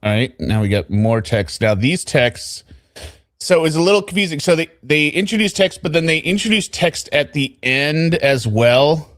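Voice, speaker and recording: Guy hosting a recap show, casual chat, animated, pitch all over, dry mic. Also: normal speed, no room sound. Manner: animated, mimics quoted people in a raised voice. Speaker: host of a trial recap show. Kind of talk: casual commentary with read-out quotes.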